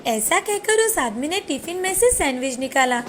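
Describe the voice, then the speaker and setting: A woman's voice: high-pitched, big pitch swings, normal speed, a little hiss or hum. A woman storyteller reading a scripted moral tale as narrator. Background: faint background music.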